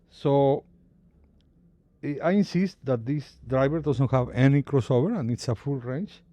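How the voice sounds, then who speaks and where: A man with a non-native English accent gives an unscripted product presentation as a company chief, haltingly and searching for words. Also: medium pitch, warm tone, no room sound, studio quality.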